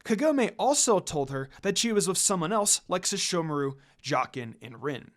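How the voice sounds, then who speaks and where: A man's voice: high-pitched, big pitch swings, normal speed. A man reading fan fiction aloud as a dramatic narrator.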